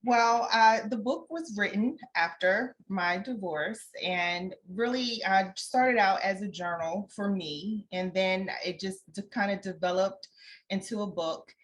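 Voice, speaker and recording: A woman's voice: mid-range voice, a little slow. A woman guest in an interview, speaking conversationally as an author in a reflective, encouraging way.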